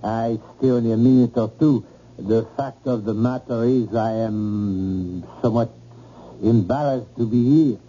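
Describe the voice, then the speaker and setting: An actor playing a polite, hesitant French cooking teacher with a French accent and formal manner in an old-time radio drama. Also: male voice, on the low side, fairly even intonation, unhurried, mellow, slight background noise, lightly acted. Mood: polite, embarrassed. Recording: muffled old radio recording.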